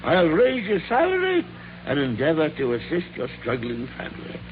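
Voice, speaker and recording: An actor playing an elderly miser in a 1940s radio drama, with theatrical, emphatic, drawn-out delivery. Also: male voice, on the high side, wildly swooping pitch, a little hiss or hum. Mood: jubilant. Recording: dull lo-fi 1940s radio recording.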